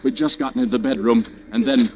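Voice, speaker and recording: A nervous young man with something to hide, played by a male actor in a 1940s-style radio thriller. His voice is mid-pitched and uneasy, evasive and stammering, on an old broadcast recording. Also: fairly even intonation, fast, highs cut off, close to an everyday voice. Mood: tense narrating. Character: nervous young man hiding a terrible secret. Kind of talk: old-time radio suspense acting with first-person narration.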